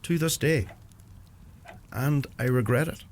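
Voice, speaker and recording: An actor playing an earnest, plain-spoken young Irish farmer with a Donegal accent, torn by guilt, in a radio play. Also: male voice, mid-range voice, slow, slight background noise. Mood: guilty, troubled.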